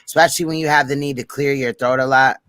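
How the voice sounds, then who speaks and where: A man's voice: medium pitch, steady tone, fast talker, a bit bright, no echo, studio quality. A man chatting casually on a panel, giving advice and laughing easily. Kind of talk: casual chat.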